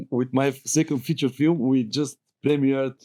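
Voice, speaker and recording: Bulgarian-accented man, middle-aged, lively rambling guest storyteller. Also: medium pitch, takes it slowly. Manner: animated, rambling storyteller who laughs often. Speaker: guest filmmaker. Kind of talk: casual roundtable chat.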